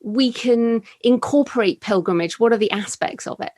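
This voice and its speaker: A woman's voice: on the high side, moderate pace, a little mellow, dry recording, studio quality. A woman hosting a conversational interview as a podcast host and author.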